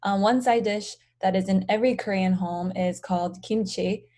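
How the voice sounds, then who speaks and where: A young woman from the United States talks through her home cooking in a soft, easygoing way, often pausing on "um" and "like." Her voice is mellow and warm, and she sits in a middle register for a woman. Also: mid-range voice, steady tone. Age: young adult. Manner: soft-spoken, explains with many "um" and "like". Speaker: pharmacy student guest. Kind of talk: casual interview talk.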